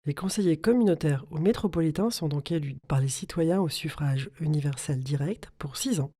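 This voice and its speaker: A woman narrates a scripted explainer. Her voice sits a little low and has a dark, relaxed tone. She lays out information in a clear, instructive way. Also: normal speed.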